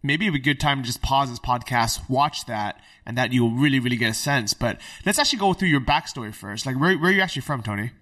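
An upbeat man hosts a casual podcast interview, loose and full of fillers. His voice is bright and sounds a little distant from the mic. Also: mid-range voice, fast talker, few pauses. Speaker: podcast host.

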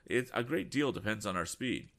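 A man's voice: lowish voice, lively intonation, normal speed, vocal fry, a bit bright, voice pushed up. A man voicing an earnest young male inventor in a storybook reading, talking urgently.

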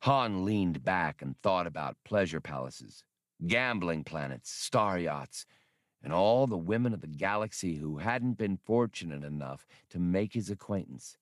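A man narrates a novel as an audiobook. His voice is on the low side, and the sound is close and dry, with no room around it. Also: expressive, unhurried, no echo. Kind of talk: scripted fiction narration.

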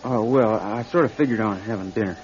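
An actor playing a young drifting country singer in a radio drama, plainspoken, folksy and halting. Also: male voice, fairly low pitch, lightly acted. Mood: hesitant, low-key. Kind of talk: radio drama acting with first-person narration. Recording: slightly dull radio recording. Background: music.

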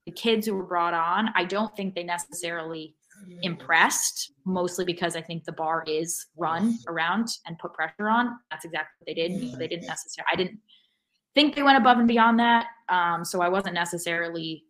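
A woman's voice: fairly low pitch, normal speed, fairly bright tone, rough recording. A woman co-hosting a sports podcast, casually breaking down a match in a critical, analytical way. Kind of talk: casual post-match soccer discussion.

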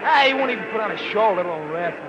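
An actor playing a loud, scornful, wisecracking male wrestling fan in 1940s radio style, jeering in excitable slang. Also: high voice, very fast, muffled recording. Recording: noisy old radio recording, roaring crowd.

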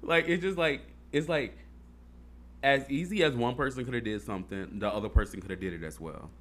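A man's voice: mid-range voice, a little fast, fairly bright tone. A man in casual chat, talking earnestly and rambling, with fillers and swearing.

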